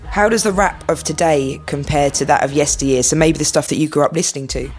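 A woman interviewer with a notably low voice. She speaks in a measured, knowledgeable way as she questions guests and talks through the music scene. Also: a little mellow, breathy edge. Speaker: interviewer and radio co-presenter. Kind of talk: interview questions and studio discussion.

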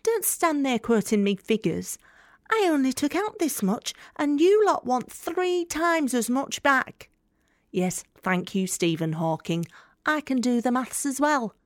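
A woman's voice: high voice, very animated, unhurried, dry recording, studio quality. A woman acting a scornful, hard-nosed working-class debt collector in an audio drama monologue, blunt, colloquial and sarcastic.

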